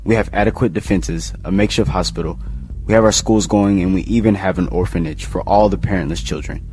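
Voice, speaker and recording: A man playing a steady, folksy leader of a survivor town in an audio drama. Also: low voice, brisk, a little hiss or hum, poor audio. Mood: measured.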